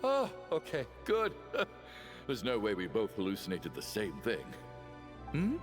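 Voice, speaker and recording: An actor playing an anxious, talkative young travelling companion in a video game, fretful and full of exclamations. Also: male voice, fairly low pitch, huge pitch swings, a little slow, long reverb tail, faint music behind. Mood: shaken, relieved.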